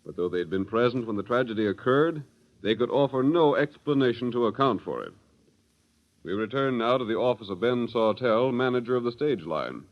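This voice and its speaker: A man's voice: medium pitch, lively intonation, brisk. Dramatic American old-time radio narrator, man. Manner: formal, dramatic announcer delivery. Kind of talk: 1930s radio scripted narration. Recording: muffled 1930s radio recording. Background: music.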